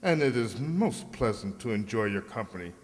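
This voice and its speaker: A man's voice: fairly low pitch, lively intonation, moderate pace, lightly acted. An actor playing a courtly, troubled King of Morocco in a children's radio play, speaking in formal, flowery phrases. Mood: gracious, courtly. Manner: formal, stately, flowery speech. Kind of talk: children's radio drama acting. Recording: reverberant hall sound.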